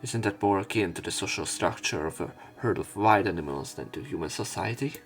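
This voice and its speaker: A man's voice: sits low, expressive, fairly quick. A man reading a visual novel script aloud as let's play narration, acting out the story. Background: faint game music.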